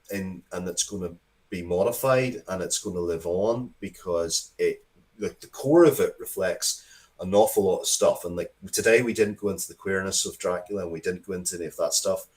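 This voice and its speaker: Very low-voiced man, casual and emphatic. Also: normal speed, a little mellow. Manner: emphatic, mildly sweary, repeats words for emphasis. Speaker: interview guest, writer. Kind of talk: casual, opinionated interview talk.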